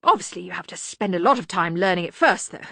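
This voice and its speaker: A woman's voice: fairly low pitch, lively intonation, vocal fry, fairly bright tone, no echo, studio quality, full character voice. A woman voicing a sensible, diplomatic male junior wizard, hesitant and deferential, in an audiobook. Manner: halting, deferential, resigned. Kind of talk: acted audiobook dialogue.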